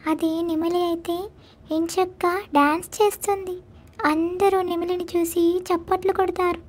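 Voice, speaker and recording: A woman voicing discontented, self-deprecating forest birds in a children's animated moral story. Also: very high-pitched, normal speed. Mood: modest, wishful. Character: discontented forest birds: swan, parrot, caged peacock.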